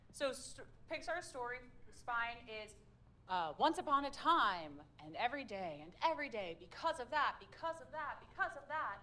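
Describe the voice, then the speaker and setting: A woman's voice: fairly high pitch, sharp tone, a little hiss or hum. A woman leading a public speaking workshop, upbeat and chatty with plenty of fillers. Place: meetup room with a group.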